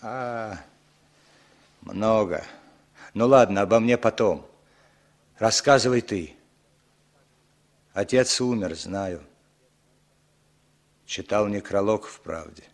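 A brooding aging impostor, low voice, slow and sentimental, radio play. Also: male voice. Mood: heavy sentimental. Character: aging male impostor posing as a long-lost brother. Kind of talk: 1960s radio drama acting.

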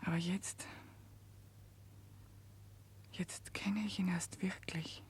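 A woman playing an anguished, self-denying prisoner in a 1960s German radio drama, breathy and often half-whispering. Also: on the low side, steady tone, takes it slowly. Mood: sorrowful.

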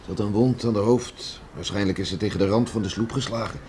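A man's voice: fairly low pitch, fast talker, darkish tone, lightly acted. An actor playing an earnest, emphatic beachcomber and father in a Dutch radio drama. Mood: grave. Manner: emphatic, repeating himself. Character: earnest beachcomber father, rough and deeply moved. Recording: noisy old radio play recording.

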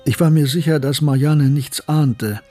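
Embittered professor, slightly husky. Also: male voice, fairly high pitch, a little slow, dark tone, soft background music. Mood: brooding recollection. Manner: insistent, rhetorical, defensive. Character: anguished, embittered male German professor recounting his past. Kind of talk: radio drama narration and dialogue.

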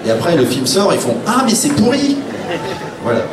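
A man's voice: fairly high pitch, huge pitch swings, normal speed. A man speaking informally as an author on a panel, telling anecdotes in a blunt, wry way and acting out quoted remarks. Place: public round table in a room. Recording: roomy, distant live recording with clear noise.

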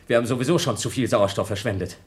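An actor playing a scheming, desperate crewman on a space station in a German radio play, husky and insistent. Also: medium pitch, racing, close to an everyday voice. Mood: coolly scheming. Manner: domineering, theatrical, insistent.

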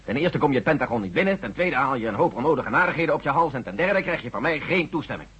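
An actor playing a curt, dutiful army general in a radio drama, speaking in a clipped, authoritative and husky voice. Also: male voice, mid-range voice, expressive, fast talker, a bit bright. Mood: stern. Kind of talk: Dutch radio drama acting. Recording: dull, lo-fi old radio recording.

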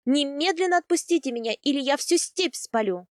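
A woman's voice: a little high, animated, slow, no room sound, studio quality. A woman voicing a defiant young woman, a feisty captive bride who speaks emphatically in a fantasy audiobook. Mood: indignant.

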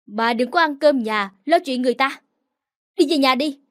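A woman reads a hot-tempered older brother from an audio story who blusters and brushes off blame. The voice stays high and close to her own. It sounds close-miked and dry. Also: normal speed, no room sound, close to an everyday voice. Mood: defensive. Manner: defensive, blustering.

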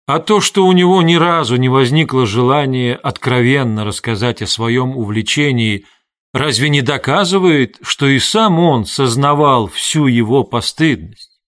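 Male audiobook narrator, middle register, scripted reading of literary prose. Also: medium pitch.

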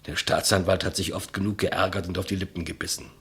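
An actor playing a humble, despised executioner in a 1950s German radio play, deferential, plain-spoken and reflective. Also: male voice, low-pitched, little pitch movement, fast talker, fairly bright tone, slightly rough, full character voice. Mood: earnest, reminiscing. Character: humble, despised old-school male executioner, quietly matter-of-fact. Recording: muffled vintage 1959 radio recording.

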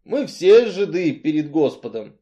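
A man voicing a brooding, weary strongman who speaks bluntly and emphatically in halting, colloquial phrases. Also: on the high side, sing-song, mellow, muffled recording, voice pushed up. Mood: blunt, emphatic.

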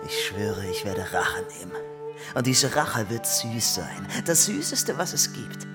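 A man's voice: medium pitch, wildly swooping pitch, unhurried, over loud music. An actor playing a small, vengeful, foul-mouthed soldier in a radio play, crude and boastful in his threats.

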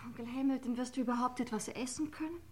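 A woman's voice: fairly high pitch, quick pace, a little mellow, lightly acted. A woman playing a fretting, doting young lady in a German radio crime play, on a slightly noisy old recording. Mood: fretful.

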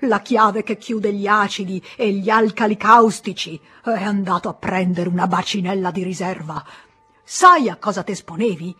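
A woman reading an audiobook voices a pompous, irate middle-aged male pharmacist, scolding loudly and with emphasis in a husky voice. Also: mid-range voice, fairly even intonation, normal speed.

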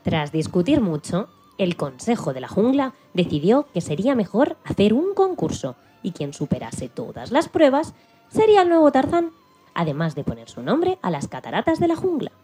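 A woman's voice: medium pitch, wildly swooping pitch, moderate pace, mellow, soft background music. A woman narrating a children's radio play as a storyteller, reading from a script.

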